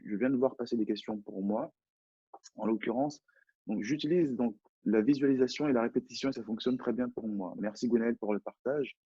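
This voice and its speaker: A man's voice: mid-range voice, brisk, mellow, husky, no echo, lo-fi sound. A French man, a personal development coach, talking in a live online chat, hesitant and often repeating words. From France.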